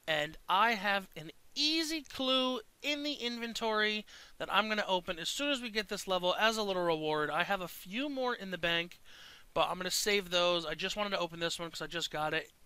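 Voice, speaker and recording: A young man talks over his gameplay with a stuffy, congested nose, chatty and quick to get excited. His voice is bright and forceful, with a smooth, crisp tone. The sound is dry and close to the mic. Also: sits high, dry recording. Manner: chatty, excitable, swears casually. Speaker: gaming video host. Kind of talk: casual gameplay commentary.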